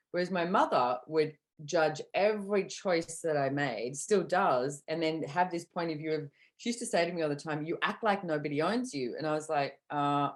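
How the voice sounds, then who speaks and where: A woman's voice: deep voice, darkish tone. A middle-aged woman hosting a podcast, giving an earnest, conversational motivational talk to a live audience, with asides and repeated catchphrases. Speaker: podcast host and workshop facilitator.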